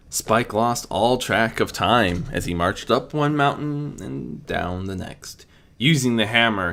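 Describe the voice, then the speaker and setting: Bright-voiced man narrating a fantasy story. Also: on the low side. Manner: amateur reading with occasional stumbles and self-corrections. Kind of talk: scripted dramatic narration of fan fiction.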